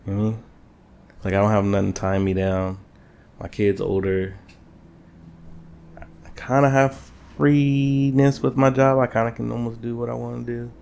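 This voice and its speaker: A man's voice: medium pitch, steady tone, normal speed, gravelly, long pauses, a little mellow. A man co-hosting a podcast, talking in a loose, casual, conversational way. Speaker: podcast co-host. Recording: noticeable room noise.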